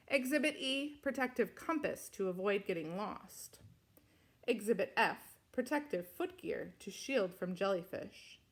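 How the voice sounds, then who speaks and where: Lively, high-voiced woman reading a storybook. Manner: expressive, deliberate, dramatic emphasis. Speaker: storybook reader. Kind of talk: children's picture book read-aloud.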